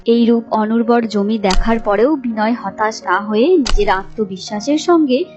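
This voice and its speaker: A woman's voice: a little high, normal speed, muffled recording. A woman narrating a scripted story as a storyteller. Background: music.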